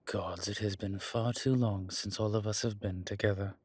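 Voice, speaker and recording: Audio drama role: wry centuries-old male vampire acted by a man, husky and unhurried, self-assured, close dry recording. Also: fairly low pitch, steady tone, a little mellow, dry recording. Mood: amused.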